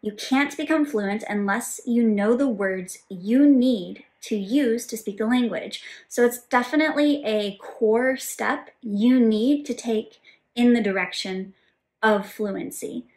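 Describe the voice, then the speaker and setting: A woman in her thirties, the resident polyglot, answering viewer questions in a clear, explanatory way from a home office. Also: on the high side, slow, a little mellow. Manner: clear, explanatory, gestures with both hands. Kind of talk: scripted Q&A to camera. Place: home office with bookshelves and world map, indoors.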